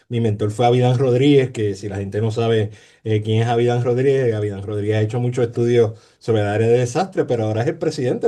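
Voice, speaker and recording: A man's voice: mid-range voice, warm tone. A man with a Puerto Rican Spanish accent, a sociologist talking in an interview, hesitant, with many fillers, repeated words and tag questions. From Puerto Rico. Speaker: sociologist guest. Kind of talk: conversational interview.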